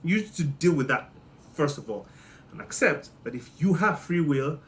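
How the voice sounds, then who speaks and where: A man's voice: fairly high pitch, animated, normal speed, slight background noise. Playful Nigerian-accented man. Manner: playful, teasing, jumps between loud and muttered. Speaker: monologue host. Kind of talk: comedic monologue.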